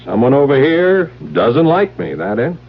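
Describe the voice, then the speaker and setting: An actor playing a stubborn, wry American government investigator in a 1970s radio drama, with punchy, sardonic delivery. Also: male voice, mid-range voice, big pitch swings, moderate pace, creaky. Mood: wry, resigned. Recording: muffled 1970s radio recording, faint hiss.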